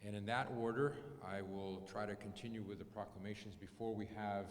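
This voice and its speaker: A man emceeing a ceremony and introducing speakers in a relaxed way. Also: on the low side, fairly quick. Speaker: event emcee. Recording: hall reverb, faint noise.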